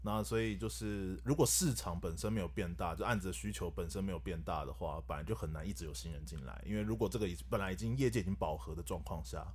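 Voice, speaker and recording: A man's voice: low voice, fast talker, few pauses, darkish tone, breathy edge. A man voice actor, talking in a wry, matter-of-fact way on a podcast. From Taiwan. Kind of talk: casual podcast chat.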